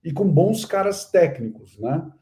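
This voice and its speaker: Dark-voiced middle-aged Brazilian man. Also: on the high side, animated, a little slow. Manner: earnest, many fillers and restarts. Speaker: guest investor. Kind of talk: casual live interview chat.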